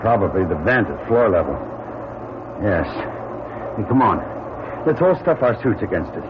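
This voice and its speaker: A man playing a practical, brisk space crew captain, anxious but taking charge, in an old-time radio drama. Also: on the low side, big pitch swings. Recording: muffled radio recording, clear background noise.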